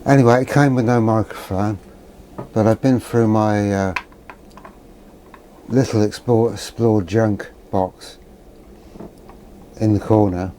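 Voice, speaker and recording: Older British male, lowish mellow voice, sardonic grumbler, unscripted vlog delivery. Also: fairly even intonation, slow pace, pauses often, slight background noise. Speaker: presenter of a vintage radio video. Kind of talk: rambling unscripted vlog commentary.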